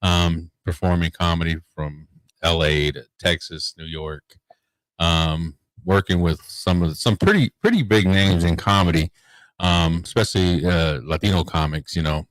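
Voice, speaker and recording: A man with a low, bass-heavy voice and an easygoing manner, rambling through his stories as a comedian guest on the radio. The sound is dry and close, with no room around it. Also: normal speed, a bit bright, dry recording, poor audio. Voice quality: deep bass voice. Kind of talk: casual radio interview. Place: radio studio.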